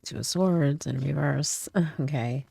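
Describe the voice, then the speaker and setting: A woman's voice: low voice, slow pace, vocal fry, warm tone, husky, no room sound. A woman doing a casual tarot reading, a little stuffy from a cold, chatty and often checking in with "okay?" and "right?". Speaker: tarot reader.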